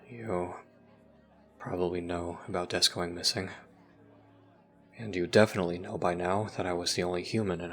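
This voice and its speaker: Man acting a troubled teenager, very low halting voice. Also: pushed and bright. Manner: halting, confessional, trailing off. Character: troubled, self-blaming human teenager confiding in a friend. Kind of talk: audio roleplay voice acting.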